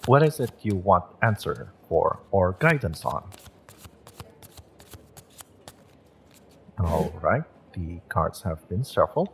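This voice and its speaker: A man playing a gentle, encouraging magick shop owner and tarot reader in an intimate audio roleplay, speaking in a measured, mystical, reassuring way. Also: sits low, sing-song, creaky, a little hiss or hum, full character voice. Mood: calm, guiding.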